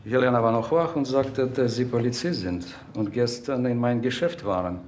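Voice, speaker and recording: An actor playing a polite, composed businessman and father who speaks in a measured, formal way in a TV crime drama. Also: medium pitch, darkish tone, soft background music, natural voice. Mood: calm, courteous. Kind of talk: naturalistic TV crime drama acting.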